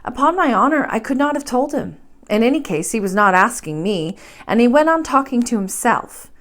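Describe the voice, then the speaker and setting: Expressive woman reading a bedtime story. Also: fairly high pitch, normal speed. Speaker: library storyteller.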